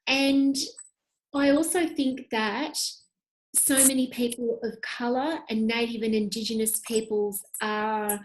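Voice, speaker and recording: A woman's voice: fairly high pitch, fairly even intonation, a little slow, low-quality recording. A woman in her thirties, a podcast guest on a webcam call, speaking in an impassioned, emphatic way. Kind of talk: conversational interview. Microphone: video call webcam.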